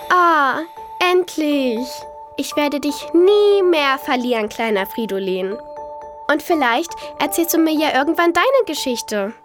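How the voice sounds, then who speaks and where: A woman's voice: high-pitched, lively intonation, unhurried, over music. A woman playing a tender, earnest young girl in a children's audio drama. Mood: relieved. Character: young girl, tender, attached to her puppet.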